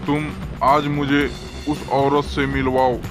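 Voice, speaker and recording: A man's voice: medium pitch, little pitch movement, slow, over music, close to an everyday voice. A man voicing a greedy, blunt, bullying landlord in a cartoon story. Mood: suspicious, accusing. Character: greedy, bullying male landlord with a murderous past. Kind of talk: Hindi cartoon story dialogue.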